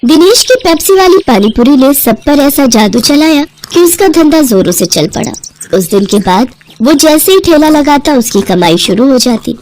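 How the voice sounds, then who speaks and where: A woman's voice: high voice, lively intonation, moderate pace, a little mellow. A woman narrating a scripted moral story as its storyteller.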